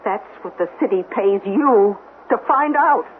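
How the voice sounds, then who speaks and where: A woman's voice: mid-range voice, lively intonation, unhurried, lightly acted. An actress playing a fiercely protective, middle-aged working-class mother, speaking emphatically in a 1970s radio drama. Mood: bitter, defiant. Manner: emphatic, insistent. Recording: tinny, noisy old radio broadcast.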